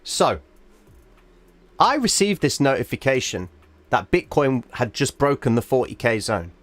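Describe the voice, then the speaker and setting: Man, livestream host, chart walkthrough, emphatic, going hoarse, dry close sound. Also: medium pitch, very animated, unhurried, a bit bright, no room sound. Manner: emphatic, checks in with "all right?" often. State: losing his voice. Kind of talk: live chart walkthrough to viewers.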